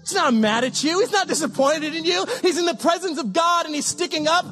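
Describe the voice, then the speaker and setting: A man pastor giving a sermon, preaching with passion, repeating phrases and rising to shouts. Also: very high voice, brisk, faint music behind. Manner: impassioned, repetitive preaching with shouted peaks.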